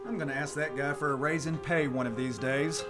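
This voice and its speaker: A man's voice: a little high, fairly even intonation, brisk, over loud music, close to an everyday voice. An actor in a radio play voicing a casual, grumbling henchman. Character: casual grumbling male henchman of crooked medium.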